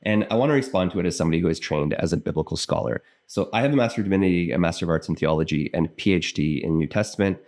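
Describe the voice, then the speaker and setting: A man's voice: deep voice, racing, few pauses, darkish tone. A Canadian man in his thirties, a biblical scholar, talking earnestly to camera and thinking aloud as he explains. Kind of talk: casual explanatory commentary to camera.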